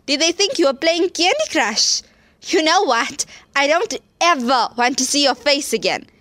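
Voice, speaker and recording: A woman's voice: sits high, sing-song, normal speed, a bit bright, no room sound. An indignant, betrayed teenage girl. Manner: indignant, hurt. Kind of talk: audio drama acting.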